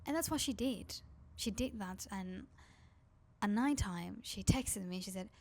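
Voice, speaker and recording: A woman's voice: medium pitch, animated, unhurried, vocal fry, darkish tone. A woman with a Spanish accent, a native Spanish speaker from Spain, vlogging in a rambling, confiding chat to camera. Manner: rambling, confiding, heavy use of "like".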